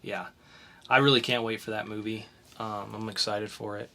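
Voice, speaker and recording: A young man co-hosting a podcast, chatting casually through the news with fillers and sudden quick bursts. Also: lowish voice, little pitch movement, creaky, pushed and bright. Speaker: podcast co-host.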